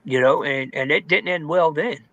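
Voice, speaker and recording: A man's voice: fairly high pitch, normal speed, fairly bright tone, no room sound, highs cut off. A man giving a personal testimony in an informal, candid, conversational storytelling way, saying "man", "dude" and "you know" often. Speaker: testimony guest in recovery.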